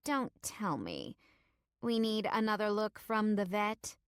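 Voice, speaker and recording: A snooty middle-aged boss lady as a woman narrator plays her in an audiobook. She talks down to her listener with mock-sweet, patronising airs, pitched up, in a dry recording. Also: big pitch swings, slow, clear, resonant tone, dry recording. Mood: weary, irritated. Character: haughty, condescending middle-aged woman boss of big-cat keepers. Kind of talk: audiobook character acting.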